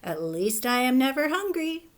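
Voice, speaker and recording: A woman's voice: high voice, sing-song, normal speed, voice pushed up. A woman voicing a greedy, selfish hen in a storybook, speaking in a self-satisfied, boastful way. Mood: smug.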